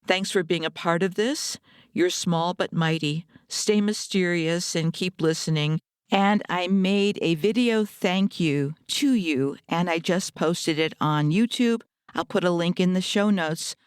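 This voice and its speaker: A woman's voice: fairly low pitch, smooth, no room sound, studio quality. An American woman hosting a podcast in a conversational narrating style. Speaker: podcast host.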